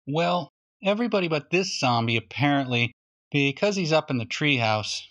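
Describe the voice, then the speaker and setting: A man's voice: a little high, lively intonation, normal speed, a bit bright, dull audio. A man voicing a glum young boy, plaintive and slyly knowing, in a children's storybook reading.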